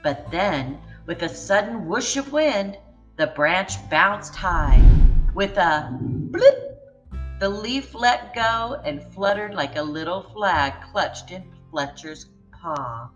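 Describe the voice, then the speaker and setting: A woman reading a children's picture book aloud in a gentle, expressive way. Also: medium pitch, takes it slowly, soft background music, rough recording. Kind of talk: storybook read-aloud.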